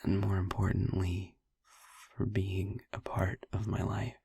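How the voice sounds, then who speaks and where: A man playing a gentle, wistful vampire boyfriend in an intimate audio roleplay, speaking tenderly and hesitantly in a husky, breathy voice. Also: little pitch movement, no room sound, studio quality, full character voice. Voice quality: husky, breathy, gravelly low voice. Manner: tender, hesitant, slow with pauses. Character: gentle, wistful male vampire boyfriend, youthful, haunted by past. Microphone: close, dry microphone.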